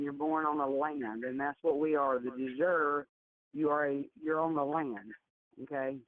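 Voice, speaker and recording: Woman on a tinny phone line. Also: low voice. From Georgia, United States. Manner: emphatic, repetitive. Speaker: conference call moderator. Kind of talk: explanatory conference call talk. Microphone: telephone.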